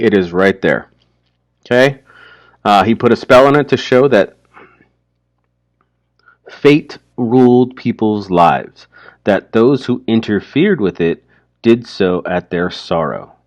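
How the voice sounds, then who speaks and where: A man's voice: fairly low pitch, expressive, takes it slowly, pauses often, dry recording. A man explaining a short story in an informal, colloquial teaching style, checking in with pointed questions.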